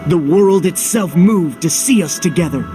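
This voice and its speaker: An actor playing a young game hero who shifts between earnest love declamations and dry deadpan. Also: male voice, sits high, moderate pace, over loud music. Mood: ardent. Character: young male hero reciting theatrical love speeches, reluctantly wry. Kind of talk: video game cutscene acting.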